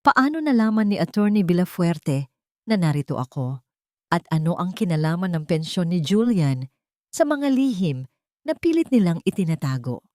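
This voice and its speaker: Lowish-voiced woman narrating a drama. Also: sing-song, normal speed, mellow, no echo, studio quality. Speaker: audiobook narrator. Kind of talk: scripted first-person dramatic narration.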